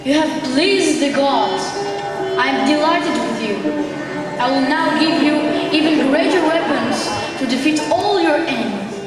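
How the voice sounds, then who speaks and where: A woman's voice: sits high, expressive, a little slow. A young woman playing a venerable, earnest male sage in a formal, declamatory school stage play. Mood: formal, pleased. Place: large school gym. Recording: echoey large hall, distant mic, music.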